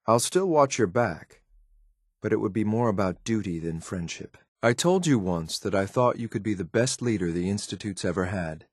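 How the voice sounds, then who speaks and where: Low, stern synth soldier. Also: male voice, slightly rough, dry recording, studio quality. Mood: measured, earnest. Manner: formal, clipped, restrained, military. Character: stern, dutiful male synth soldier, coolly loyal. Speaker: video game voice actor. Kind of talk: scripted game companion dialogue.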